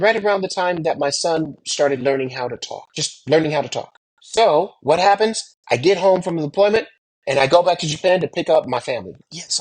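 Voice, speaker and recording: A man's voice: on the high side, breathy edge, no room sound, poor audio. A middle-aged American man telling anecdotes from his own life, speaking emphatically with repeated phrases and rhetorical questions. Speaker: military veteran and pilot sharing experiences. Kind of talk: anecdotal storytelling about personal experience.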